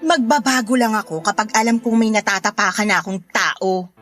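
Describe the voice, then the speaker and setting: A woman's voice: mid-range voice, a little fast, fairly bright tone, faint music behind, close to an everyday voice. An actress with a Filipino accent playing a sarcastic, spiteful, unrepentant neighbour woman in a radio drama. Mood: mocking, smug. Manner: mocking, flippant, dismissive.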